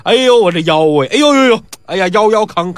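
A man's voice: high voice, big pitch swings, a little fast, fairly bright tone, dry recording, heavily acted. A man voicing a sly old drunk who groans and complains about his back in a comic story. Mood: groaning.

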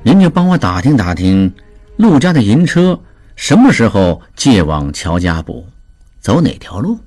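A man voicing a calm, scheming merchant in an audiobook, speaking in a smooth, slyly jovial, unhurried way. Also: fairly low pitch, soft background music, heavily acted. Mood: coaxing. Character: sly, scheming male merchant, calm and calculating. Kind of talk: audiobook character voice.

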